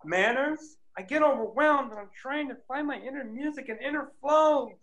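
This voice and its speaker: A man's voice: very high voice, normal speed, fairly bright tone, lo-fi sound. A man reading the part of an anxious, easily overwhelmed man in a table read over a video call, in a whiny, flustered way.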